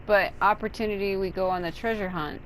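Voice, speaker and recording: A woman's voice: medium pitch, fairly even intonation, a little fast, fairly bright tone, slight background noise, low-quality recording. A woman giving casual, unscripted gameplay commentary, thinking aloud in a rambling way and swearing casually. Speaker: video game streamer.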